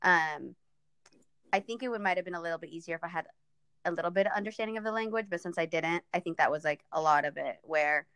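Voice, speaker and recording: A woman's voice: on the low side, fast, fairly bright tone, clean tone, no echo. A young woman from Ethiopia, a podcast guest chatting casually and thoughtfully, with frequent fillers.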